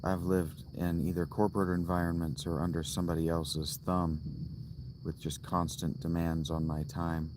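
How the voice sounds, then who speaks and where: A man in his thirties chatting on a casual livestream from inside a tent, musing slowly and restarting his thoughts as he goes. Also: sits low, level tone, noisy background. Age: 30s. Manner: slow, musing, thinks aloud with restarts. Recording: loud crickets and outdoor ambience. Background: crickets.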